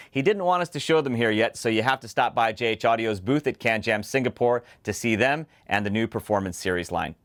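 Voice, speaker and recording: A man hosting a scripted product preview, explaining details in a measured way and spelling out model names clearly. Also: mid-range voice. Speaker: host and headphone reviewer.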